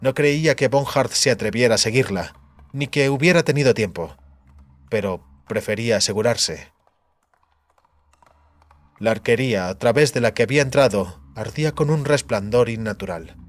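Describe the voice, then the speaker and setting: A man reading a fantasy audiobook in a measured, dramatic storytelling manner. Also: medium pitch, no room sound. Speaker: audiobook narrator. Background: background music.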